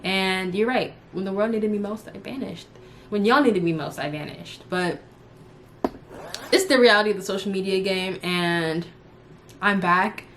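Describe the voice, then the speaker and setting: A woman's voice: medium pitch, moderate pace, a bit bright, rough recording. A woman in her early twenties chatting casually and unscripted to her followers, candid and slangy, rambling as she goes. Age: early 20s. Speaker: YouTuber and live streamer.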